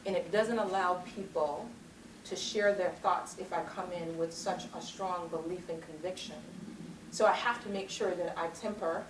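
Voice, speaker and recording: A confident middle-aged woman delivers a motivational keynote with an emphatic, rhythmic cadence, her voice sitting fairly low. She is picked up from a distance in a large, echoey conference hall, with clear background noise. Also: steady tone, moderate pace. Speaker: keynote speaker. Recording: roomy hall sound, distant mic, noisy.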